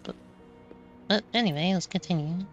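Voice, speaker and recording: A woman doing casual gameplay commentary, rambling and halting as she repeats words. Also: lowish voice, muffled recording, faint music behind. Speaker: video game streamer.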